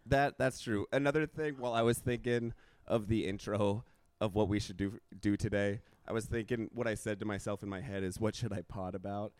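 A man's voice: fairly low pitch, fairly even intonation, a little fast, a little mellow, dry recording. Laid-back joking young man, dry sound. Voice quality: slightly raspy. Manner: joking, rambling, laughs often. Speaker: podcast co-host and stand-up comedian. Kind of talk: casual comedic chat.

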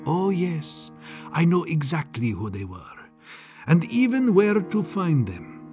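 A man's voice: a little high, sing-song, slow pace, a little mellow, soft background music, pitched above the performer's own voice, heavily acted. A man voicing a smug, gleeful and sinister scientist in an audiobook, with a sing-song, condescending, theatrical manner. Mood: smug, boastful. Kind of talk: audiobook character acting.

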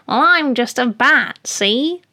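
A woman's voice: high voice, lively intonation, takes it slowly, bright, forceful tone, voice pushed up, heavily acted. Little male bat, performed by a woman, helpful, unbothered and reassuring, for a cosy animal story. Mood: gentle. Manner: matter-of-fact, reassuring.

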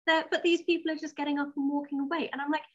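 A woman being interviewed as a writer, explaining her ideas in animated, casual conversational bursts. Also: high voice, racing, lo-fi sound.

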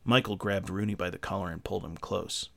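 Low, gravelly male horror narrator. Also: steady tone, a little fast, bright, forceful tone. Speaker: horror fiction podcast narrator. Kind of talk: scripted horror story narration.

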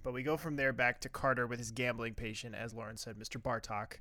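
A man's voice: mid-range voice, flat delivery, quick pace, pushed and bright, no echo. A man co-hosting a podcast, summarizing a TV episode in casual chat with stammering restarts and jokes. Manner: stammering restarts, joking asides. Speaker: podcast co-host.

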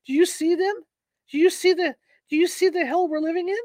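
Woman putting on a desperate wife, high voice, shouting, pleading, terrified, storybook reading. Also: fairly even intonation, fairly quick, dry recording, studio quality. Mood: frantic. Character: desperate furious young wife, terrified for her family.

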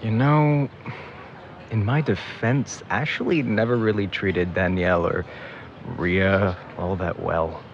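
A man plays a young big brother in an audio drama, guilty and defensive. His voice sits a little low and he rambles hesitantly. The sound is muffled, with clear background noise. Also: fairly even intonation, slow pace. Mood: guilty, conflicted. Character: grumbling, guilty big brother dragged into a search. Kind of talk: audio drama monologue, naturalistic.

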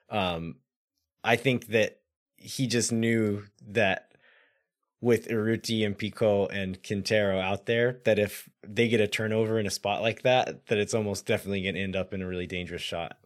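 This is a man whose voice has gone raspy and hoarse from yelling at a game. He talks low and casually, working through tactical points with plenty of fillers and restarts. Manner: analytical, thinks aloud with fillers. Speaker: podcast co-host. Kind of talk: casual sports podcast chat.

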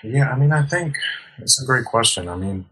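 Husky, bright-voiced man talking candidly. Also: mid-range voice, expressive, brisk, poor audio. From Dominican heritage, grew up in the US. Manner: candid, reflective, casual slang, many restarts. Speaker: guest. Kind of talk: casual podcast conversation.

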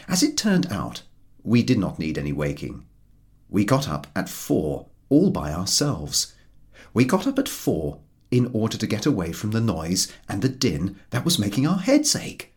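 Very animated, low-voiced male audiobook narrator. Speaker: audiobook reader. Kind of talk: scripted narration of a comic travel story.

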